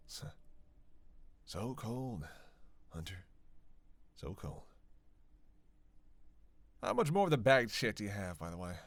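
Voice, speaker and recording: A man's voice: medium pitch, no echo. A man playing a sardonic, flirtatious male vampire in a roleplay audio drama, with a teasing, playful and intimate manner. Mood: teasing, hungry. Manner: teasing, foul-mouthed, playful, intimate delivery with sighs. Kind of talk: scripted roleplay audio drama addressed to listener.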